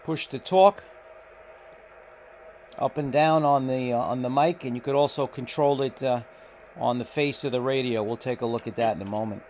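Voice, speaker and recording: A man in an indoor room, describing gear at an unhurried pace and pausing often with fillers. His voice sits at an ordinary middle pitch for a man. A faint hiss of room noise runs underneath the camera-mic recording. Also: a little mellow. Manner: unhurried, many filler pauses. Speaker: radio reviewer. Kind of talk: unboxing walkthrough.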